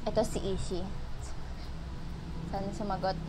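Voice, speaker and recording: A woman's voice: medium pitch, level tone, unhurried. A young woman with a Filipino accent chatting casually as a playful prank caller, with noise behind her. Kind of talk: casual phone chat.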